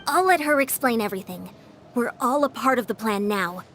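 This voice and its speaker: Earnest young heroine, high voice. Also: female voice, expressive, a little fast, noisy background. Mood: resolute. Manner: earnest, resolute. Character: earnest young warrior woman, caring and determined. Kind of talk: video game voice acting with musical score.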